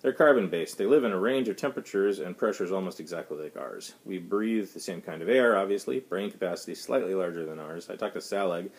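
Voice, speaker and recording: A man's voice: low-pitched, lively intonation, a little fast, few pauses. A man reading aloud the part of a mild ship's medical officer, explaining things in a hesitant, technical way. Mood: explanatory. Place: small home study, indoors.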